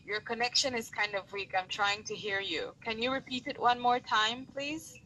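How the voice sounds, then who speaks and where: A woman tutoring English over a video call, explaining patiently and clearly. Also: fairly high pitch, steady tone, normal speed, bright, forceful tone, slight background noise, poor audio. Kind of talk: online tutoring conversation.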